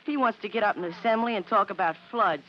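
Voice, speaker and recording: Man playing a high-voiced sulky teenage boy. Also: fast talker, close to an everyday voice. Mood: indignant. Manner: indignant, grumbling. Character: sulky, exasperated fourteen-year-old boy. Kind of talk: 1950s radio drama acting. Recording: muffled, noisy old radio recording.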